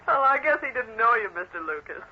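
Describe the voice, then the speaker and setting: An actress playing a brisk, sharp-tongued young secretary in an old-time radio drama. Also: female voice, a little high, big pitch swings. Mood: polite, pleasant. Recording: muffled, noisy vintage radio recording.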